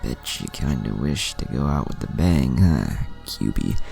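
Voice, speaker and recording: A man voicing a dying thirteen-year-old ninja boy, softly brave and resigned, speaking weakly and haltingly in an anime fan story. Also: rumbling low, expressive, vocal fry, soft background music, full character voice. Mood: wistful, defiant. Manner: soft, halting, weak.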